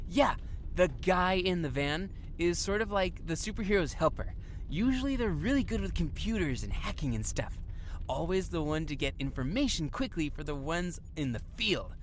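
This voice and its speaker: Woman doing nerdy excitable teen boy, 15, easily annoyed, very animated pitch, faint bg noise. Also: normal speed, natural voice, low-quality recording. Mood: eager, explaining. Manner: eager explaining, whiny when teased. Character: excitable fifteen-year-old comic-geek teenage boy, easily annoyed. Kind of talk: audio drama acting.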